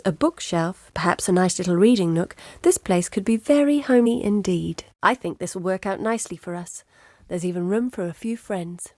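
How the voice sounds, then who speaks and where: An actress playing an inquisitive, earnest young woman scholar in a video game, speaking in an articulate, measured, thoughtful way. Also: on the low side, darkish tone, no room sound, studio quality, lightly acted. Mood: pleased, hopeful. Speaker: game character, scholar and map researcher. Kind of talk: scripted video game dialogue.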